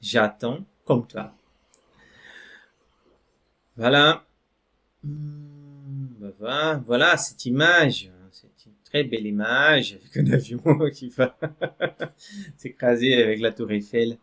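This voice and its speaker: Male French teacher, mid-pitched, patient and slow, reads screen text aloud. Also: pushed and bright. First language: Portuguese. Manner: slow, repeats "d'accord?" and "voilà" to check in. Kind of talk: online language lesson.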